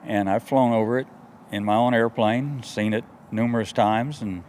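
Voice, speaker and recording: A man's voice: on the low side, steady tone, normal speed, darkish tone. A man in his sixties talking casually and earnestly, telling his story in a folksy way. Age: 60s. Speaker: interviewee, airport worker and pilot. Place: outdoors in a grassy wooded clearing. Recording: noisy outdoor field recording, faint vehicle hum.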